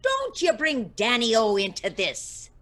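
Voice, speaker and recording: A woman playing a sardonic, sharp-tongued older Irish wife with an Irish accent in an audio comedy drama. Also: a little high, huge pitch swings, a little slow, exaggerated voice.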